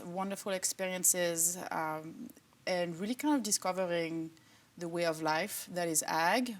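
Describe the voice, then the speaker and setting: A middle-aged woman from France, a professor answering interview questions in a relaxed, thoughtful way with many fillers. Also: on the low side. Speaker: agriculture professor and department chair.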